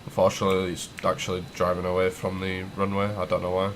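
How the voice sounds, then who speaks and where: A man's voice: low voice, completely flat delivery, fast, a little hiss or hum. A man with a cold, sniffling, casually talking through a game tutorial as he clicks and types. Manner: thinks aloud while clicking and typing, loose and chatty. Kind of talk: casual screen-recorded tutorial.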